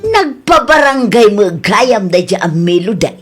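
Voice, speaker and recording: A woman's voice: mid-range voice, sing-song, measured pace, over loud music. A woman with an Ilocano accent playing an indignant, complaining village woman in a comedy radio drama. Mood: indignant, defensive. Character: complaining, indignant village women in a comic squabble.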